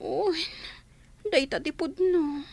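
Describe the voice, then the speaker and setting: A woman's voice: sits high, takes it slowly, gravelly, a little mellow, dry recording, full character voice. A woman playing a picky young pregnant wife in a comedy radio drama, with an Ilocano Filipino accent. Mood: whining, petulant.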